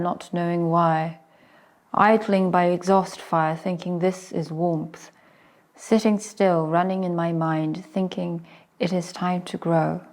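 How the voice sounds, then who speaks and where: Female poetry reader, lower-pitched voice, very unhurried, measured fragments set apart by pauses. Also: a little mellow. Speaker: poet reciting poetry. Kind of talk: slow poetry recitation.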